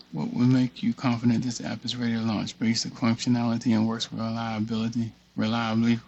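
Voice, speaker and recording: Man, creaky voice, flat pitch, halting casual tutorial presenter, thinking aloud. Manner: halting, muttering, reads screen text aloud. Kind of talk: casual screen-share walkthrough, thinking aloud. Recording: dull, muffled lo-fi audio.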